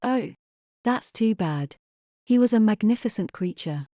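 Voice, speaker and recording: A woman acting as an earnest wife and mother full of doubt. Her voice is mellow and gentle-edged, at a middling pitch. The audio drama dialogue is recorded dry and close. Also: expressive, unhurried, dry recording, natural voice. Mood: polite, thoughtful.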